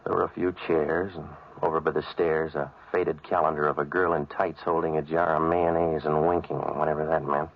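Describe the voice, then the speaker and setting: An actor playing a cynical, wisecracking American private eye in a 1940s hardboiled radio drama, terse and deadpan. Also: male voice, rumbling low, a little fast, gravelly, no room sound, exaggerated voice. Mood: wry, weary. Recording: dull vintage radio recording.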